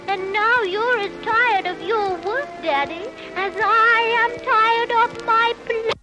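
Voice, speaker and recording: A sweet little girl recites sentimentally in a very high voice over music. Her delivery is slow and childlike, longing for Daddy, captured on an early acoustic gramophone record. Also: low-quality recording. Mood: wistful, tender.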